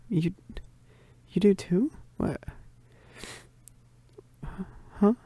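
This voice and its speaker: A woman playing a shy, flustered young werewolf roommate in a romantic ASMR roleplay, halting and stammering in a soft, breathy voice, often whispering, close to the mic. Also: medium pitch, dry recording. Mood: surprised, apologetic. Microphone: close microphone.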